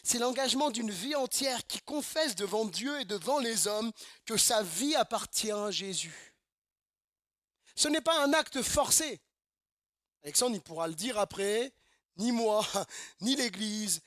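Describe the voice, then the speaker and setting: A man's voice: high-pitched, expressive, a little slow, a bit bright, no room sound, studio quality. A man from Normandy preaching a sermon, emphatic and repetitive, asking the congregation rhetorical questions. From Normandy, France. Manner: emphatic, repeats phrases, asks rhetorical questions. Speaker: pastor. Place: church service.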